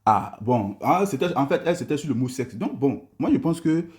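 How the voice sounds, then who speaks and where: A man's voice: mid-range voice, expressive, normal speed, a little mellow, husky. A man hosting a live religious debate stream, reading verses aloud and laughing often with his callers. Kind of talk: casual live debate chat.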